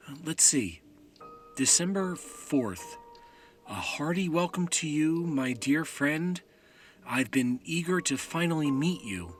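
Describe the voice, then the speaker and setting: A man's voice: medium pitch, a bit bright. A man playing a polite, wide-eyed traveller full of wonder in an audio drama.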